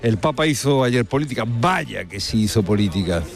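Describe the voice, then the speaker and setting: A man's voice: mid-range voice, animated, moderate pace, creaky. Emphatic male radio commentator over music. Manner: emphatic, rhetorical, repeating key phrases for effect. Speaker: radio presenter commenting on the news. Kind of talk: live spoken commentary with field reporting.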